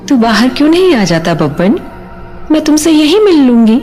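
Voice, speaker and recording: A woman's voice: high-pitched, sing-song, normal speed, darkish tone, soft background music. A woman playing an undead village mother in a horror audio drama, sweetly coaxing and teasing as she lures people out.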